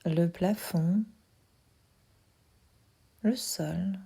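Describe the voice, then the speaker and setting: A woman guiding a sleep meditation in a soft, half-whispered voice, calm and soothing, leaving pauses between her instructions. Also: lowish voice, vocal fry, husky. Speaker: yoga nidra guide.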